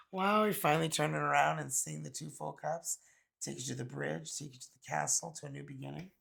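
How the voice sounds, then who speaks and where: A hoarse man from Canada with a raspy voice, chatting casually through a tarot card reading with many fillers. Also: mid-range voice. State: hoarse, recovering from illness. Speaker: tarot reader.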